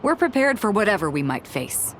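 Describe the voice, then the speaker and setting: A woman's voice: medium pitch, sing-song, brisk, fairly bright tone, a little hiss or hum. An actress playing a breezy, confident woman crew leader in a video game.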